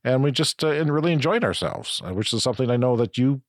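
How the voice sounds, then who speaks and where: A middle-aged man with a flu-raspy voice, co-hosting a casual podcast chat and rambling through explanations. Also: medium pitch, lively intonation, fast talker, no echo, clean studio sound. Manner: rambling, self-correcting explanations. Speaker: podcast co-host.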